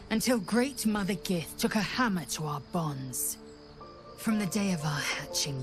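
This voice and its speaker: An actress playing a fierce, proud young alien warrior woman in a fantasy game, with a clipped, imperious, formal delivery. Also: on the low side. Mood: proud, reverent. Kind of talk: cinematic fantasy game acting.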